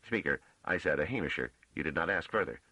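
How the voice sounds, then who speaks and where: A man voicing a blandly innocent proctor, a minor official in an audiobook, quiet, literal and sparing with words. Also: sits low, animated, fast talker, slightly rough, no echo, full character voice.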